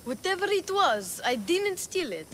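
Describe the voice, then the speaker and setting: A woman playing an eager, cheeky little boy in a video game, excitable and quick to interrupt. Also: very high voice, exaggerated voice. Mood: defensive. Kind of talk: video game cutscene acting. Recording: game audio with faint background noise.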